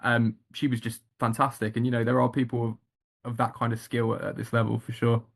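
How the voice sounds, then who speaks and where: A man's voice: mid-range voice, level tone, a little fast, gravelly, slightly rough. A male actor answering interview questions casually, hesitant with many ums and restarts.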